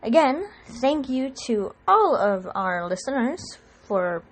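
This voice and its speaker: High voiced woman signing off an audio show, slow, lots of pitch movement. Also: sing-song. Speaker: presenter of the audio production. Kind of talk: episode sign-off to listeners.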